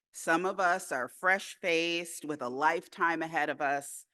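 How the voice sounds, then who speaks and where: A woman delivering a prepared speech at a breakfast event, in a rhythmic, repetitive style with deliberate pauses. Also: low-pitched, fairly bright tone. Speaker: speaker at a women's day breakfast.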